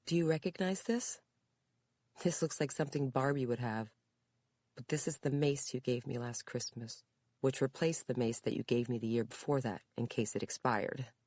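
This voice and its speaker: A woman's voice: extremely low pitch, normal speed, a little mellow, no room sound. A woman reading aloud, voicing an adult daughter who tearfully reassures her mother. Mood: earnest. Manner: emotional, reassuring.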